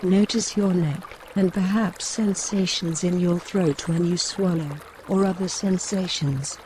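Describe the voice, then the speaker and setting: A woman leads a guided meditation in a soft-toned, mellow voice. She speaks very slowly, with gentle, measured phrasing and room between instructions. A stream of water trickles behind her. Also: lowish voice, steady tone, slight background noise, poor audio. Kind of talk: guided mindfulness body scan narration. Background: trickling woodland stream.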